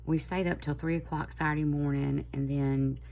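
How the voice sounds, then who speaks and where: A middle-aged woman with a Southern US accent, chatting casually and a little hoarse, in a rambling, friendly way. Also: deep voice, flat delivery, moderate pace, a little mellow, rough recording. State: slightly hoarse. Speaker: planner vlogger. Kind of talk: casual chat to camera.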